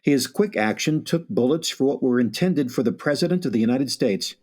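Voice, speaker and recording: A man playing a solemn, eerie announcer narrating a suspense radio play in a measured, theatrical way, with music underneath. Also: medium pitch, lively intonation, darkish tone, close to an everyday voice.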